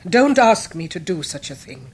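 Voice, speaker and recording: An English actress playing a wise older Victorian aunt, measured and affectionate, in a radio drama. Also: female voice, on the low side, big pitch swings, breathy edge. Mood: reflective, tender. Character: gruff but tender, wise old Victorian great-aunt. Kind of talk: 1990s radio drama acting.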